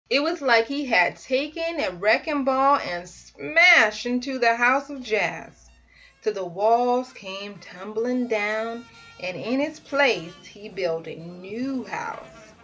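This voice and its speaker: A woman reading a children's picture book aloud in an expressive, playful, theatrical way with dramatic pauses. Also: fairly high pitch. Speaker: storybook reader.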